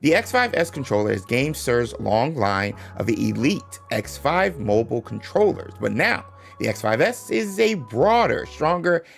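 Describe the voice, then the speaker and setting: A man hosting a livestream, chatting casually and reading sponsor spots, often halting and repeating himself. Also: medium pitch, sing-song, creaky, soft background music. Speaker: livestream host.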